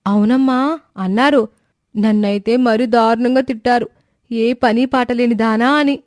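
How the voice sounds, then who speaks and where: A woman's voice: a little high, steady tone, unhurried, a little mellow, no room sound, studio quality, natural voice. Whiny, childish young daughter-in-law played by a woman. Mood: tattling. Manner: whining, complaining. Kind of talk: exaggerated animated moral-story dialogue.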